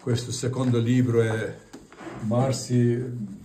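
A man in his sixties from Kosovo, a native Albanian speaker, presenting his own paintings in halting Italian full of restarts. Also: medium pitch, level tone. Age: 60s. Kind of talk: casual exhibition talk. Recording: echoey room from far off, faint noise.

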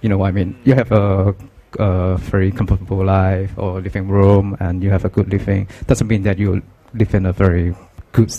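Soft-spoken Hong Kong-accented young man. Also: deep voice, level tone, muffled recording. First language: Cantonese. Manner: hesitant, halting, soft-spoken. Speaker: guest artist. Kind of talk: casual interview talk. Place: art fair booth, indoors. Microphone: handheld mic.

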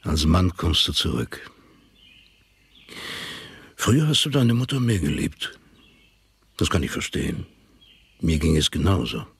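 An actor playing a powerful, scheming old father in a German radio drama, husky and slow, with a commanding, insinuating manner. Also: rumbling low, very animated, dry recording, exaggerated voice. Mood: menacing, persuasive.

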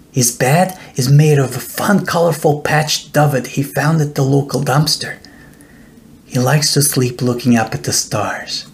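A middle-aged man narrating a children's bedtime story in a deliberate, expressive storytelling way. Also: mid-range voice, gravelly. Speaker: author reading own children's book.

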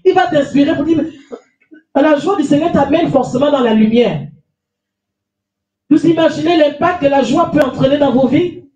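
A woman's voice: sits high, normal speed, long pauses, mellow. A middle-aged woman pastor with a cold, preaching emphatically from a lectern with a handheld mic, repeating phrases and calling for amens. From France. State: has a cold. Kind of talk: sermon. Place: small church hall with stage and lectern. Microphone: handheld mic, far camera. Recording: echoey room, voice from a distance.

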